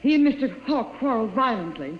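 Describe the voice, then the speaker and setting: A woman's voice: on the high side, sing-song, fast talker, dull audio, slight background noise. An actress playing an emphatic female secretary testifying in court, in 1950s radio drama style. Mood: emphatic, accusing. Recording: echoey old radio courtroom scene.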